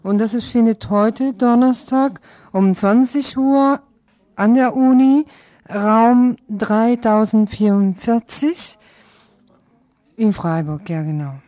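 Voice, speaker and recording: A woman's voice: fairly high pitch, takes it slowly, warm tone, no echo. A woman reading out event listings as a radio presenter.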